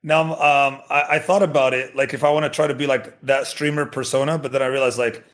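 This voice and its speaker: A man's voice: medium pitch, fairly even intonation, fast. A man in his thirties, English his second language, joking and stumbling over restarts in a casual podcast chat. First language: not English. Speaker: streamer guest.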